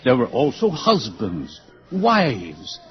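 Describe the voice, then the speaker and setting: Grand, theatrical controller with sweeping pitch. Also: male voice, huge pitch swings, takes it slowly, soft background music. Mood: dramatic lecturing. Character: urbane, authoritative world controller addressing students. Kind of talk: 1950s radio drama acting. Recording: dull old radio broadcast recording.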